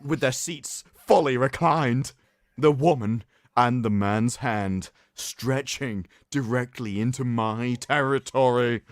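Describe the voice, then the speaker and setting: A man hosting a livestream, reading aloud in a mocking, theatrical way with sarcastic asides and drawn-out emphasis. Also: mid-range voice, no room sound, clean studio sound. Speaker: livestream host. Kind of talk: mocking dramatic reading of an article with commentary.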